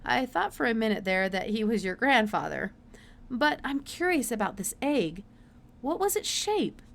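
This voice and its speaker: A woman voicing a brisk, curious, absent-minded older male scientist in a storybook, with hesitant mutters and emphatic exclamations. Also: fairly high pitch, sing-song, vocal fry, a bit bright, exaggerated voice.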